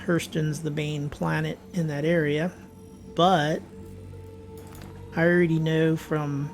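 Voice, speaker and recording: A hoarse, raspy man giving chatty, jokey commentary as he plays a space game. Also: a little high. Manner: chatty, jokey tour-guide narration. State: hoarse, clearing his throat. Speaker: game streamer. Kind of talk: casual gameplay commentary. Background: game music and engine sounds.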